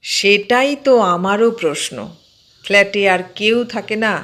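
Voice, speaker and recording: A woman voicing a mild, stubbornly sceptical man in an audio story, explaining things in a measured, matter-of-fact way. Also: mid-range voice, a bit bright, lightly acted.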